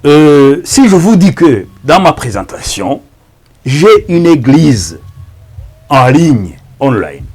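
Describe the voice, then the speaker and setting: Male Rwandan pastor, Kinyarwanda mother tongue: husky, emphatic, halting preaching delivery with very lively intonation. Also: fairly high pitch. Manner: halting, emphatic, repeats words. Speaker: pastor and evangelist. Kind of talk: preaching introduction to camera.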